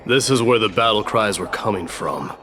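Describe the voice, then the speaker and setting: A man's voice: fairly low pitch, lively intonation, moderate pace, a crowd in the background, natural voice. A male actor playing a proud, blunt young warrior prince in a video game, coolly defiant. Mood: grim, assured. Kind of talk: dramatic video game dialogue.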